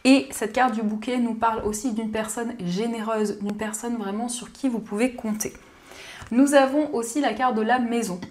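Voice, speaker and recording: Higher-pitched woman reading tarot cards. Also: steady tone, moderate pace. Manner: gentle, soothing, explanatory. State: slightly hoarse from a cold. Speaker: card reader. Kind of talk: daily tarot card reading.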